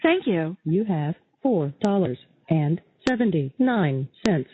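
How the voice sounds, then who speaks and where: A woman's voice: fairly low pitch, sing-song, takes it slowly. A woman reading formal automated jail phone prompts in segmented recorded phrases. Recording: dull, noisy jail phone line.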